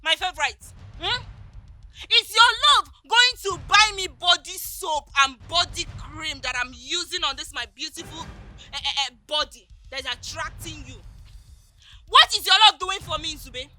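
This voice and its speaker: A woman voices a sassy Nigerian girlfriend who fires off taunting, sarcastic questions at her man. Her voice is high and very bright. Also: normal speed, slight background noise. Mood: mocking.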